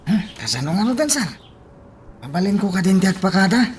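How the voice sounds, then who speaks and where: A man's voice: high-pitched, sing-song, brisk, a little mellow, slight background noise. An actor playing a dutiful, respectful male subordinate in an Ilocano radio drama. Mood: respectful, firm.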